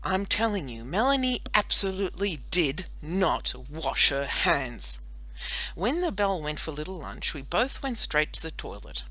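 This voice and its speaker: A woman reads as a serious, self-certain schoolgirl in a storybook. Her voice is very bright and lively in pitch, with deliberate, insistent emphasis. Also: full character voice, poor audio.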